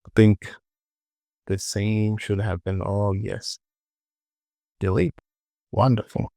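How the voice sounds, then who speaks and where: Male tutorial presenter, unhurried and slow, dry close sound, thinking aloud in short clipped phrases. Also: fairly low pitch, fairly even intonation, vocal fry, dark tone, no room sound. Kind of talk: casual screen-recorded software tutorial, thinking aloud.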